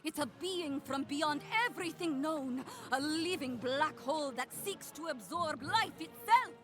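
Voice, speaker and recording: A woman's voice: high voice, measured pace, music in the background. A woman playing a grave, ominous doomsayer in a fantasy video game, speaking dramatically and solemnly.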